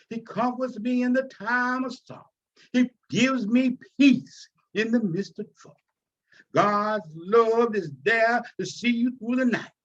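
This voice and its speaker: A man's voice: very high voice, dull audio, voice pushed up. A man voicing an elderly, devout, feisty grandmother in a folksy, teasing, preaching manner. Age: older.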